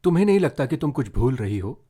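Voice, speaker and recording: A man's voice: mid-range voice, very animated, fast, mellow, breathy edge, pitched above the performer's own voice. Man playing a teasing, sceptical young husband. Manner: teasing, dismissive.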